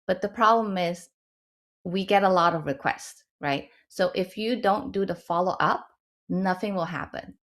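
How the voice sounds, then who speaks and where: Lower-pitched woman from the Netherlands advising. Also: moderate pace. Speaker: webinar panelist and advisor. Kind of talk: informal explanatory advice.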